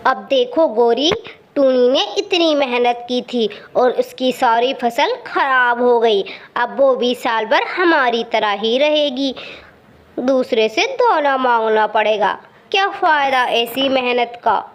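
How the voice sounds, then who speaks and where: Woman playing lazy cartoon crow villain, male, spiteful, sly, high slow voice, muffled. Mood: smug. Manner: scheming, gloating. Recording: muffled with faint background noise.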